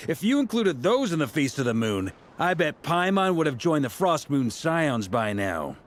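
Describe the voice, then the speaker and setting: Breezy, animated festival organizer. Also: male voice, a little high, measured pace. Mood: relaxed, amused. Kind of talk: video game voice acting. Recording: music under the voice.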